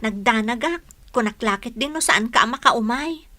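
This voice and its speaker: Sweet shy village girl, ilocano accent, high bright voice, radio drama. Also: lively intonation, normal speed. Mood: caring, shy. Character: sweet, bashful, caring young village woman.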